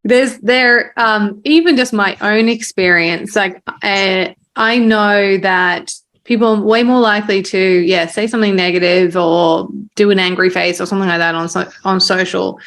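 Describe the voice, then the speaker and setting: A woman's voice: mid-range voice, steady tone, moderate pace, fairly bright tone. A woman hosting a podcast interview in a casual, informal conversational way. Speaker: podcast host.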